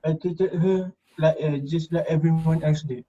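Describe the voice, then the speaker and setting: Man as a guilt-ridden student, very muffled and dark. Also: a little high, fairly even intonation, moderate pace, close to an everyday voice. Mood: subdued confession. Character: guilt-ridden male student, subdued and confessing. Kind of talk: amateur reader's theater acting. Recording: dull lo-fi voice recording.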